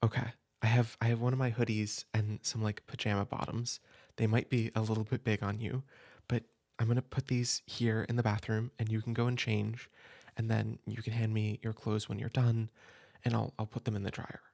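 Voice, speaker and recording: A man playing a caring older brother who shelters a younger sibling, soft-spoken and reassuring, sometimes dropping to a whisper, in a comfort audio roleplay. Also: on the low side, flat delivery, moderate pace, creaky. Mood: gentle. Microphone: home desk mic.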